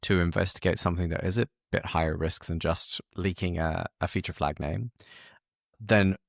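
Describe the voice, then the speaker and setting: Low, creaky-voiced man hosting casually. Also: fairly even intonation, moderate pace, dry recording, studio quality. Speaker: host. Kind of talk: casual conversational interview.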